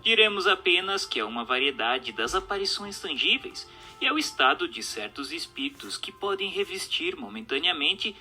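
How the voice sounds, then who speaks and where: A man with a Brazilian accent reading a scripted text as narrator, in a formal, didactic, measured manner. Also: sits high, sing-song, bright, forceful tone. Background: music.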